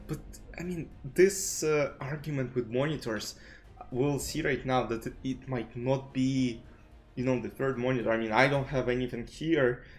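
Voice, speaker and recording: Man, rambling streaming chat. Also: medium pitch. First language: Russian. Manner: rambling, full of "you know" and restarts. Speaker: chess streamer. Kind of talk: casual commentary vlog. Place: home streaming desk setup. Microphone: desk streaming mic with webcam.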